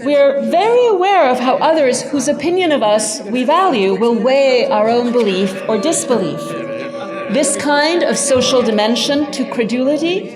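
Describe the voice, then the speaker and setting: A woman giving a scripted lecture narration in a measured, articulate, emphatic manner. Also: high voice, expressive, in a large hall, over music.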